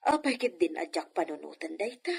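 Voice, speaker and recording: A woman acting a husky, chiding Ilocano mother. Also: fairly low pitch, big pitch swings, fairly quick, a little mellow, heavily acted. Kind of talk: Ilocano comedy radio drama acting.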